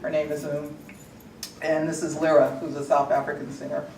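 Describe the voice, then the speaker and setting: A woman's voice: sits low, level tone, moderate pace, darkish tone, a little hiss or hum. Chatty american woman cracking jokes at a panel, distant mic in a big echoey hall. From United States. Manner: chatty, joking, laughs at her own lines. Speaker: author on a conference panel. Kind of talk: casual conference talk. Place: large conference hall.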